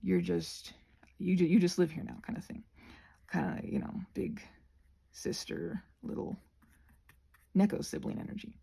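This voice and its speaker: Woman, husky, creaky low-gloss tone, casual vlog ramble, digressive, self-correcting. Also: fairly even intonation, unhurried, pauses often, mellow. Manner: digressive, self-correcting, trails off and restarts thoughts. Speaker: host of a rambling craft vlog.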